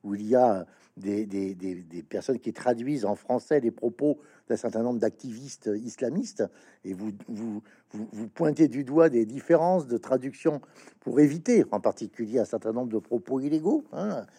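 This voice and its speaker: A man hosting an interview, asking questions in a halting way with many stammered restarts and fillers. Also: medium pitch, mellow, slightly rough. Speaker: interviewer, host. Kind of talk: interview questions, reading passages aloud.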